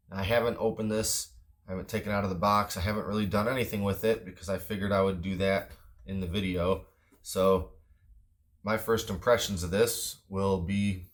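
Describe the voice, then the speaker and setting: A man with a low-pitched voice reviews a product off the cuff. He is matter-of-fact and a little tentative, with an understated delivery. It sounds like casual, unscripted talk.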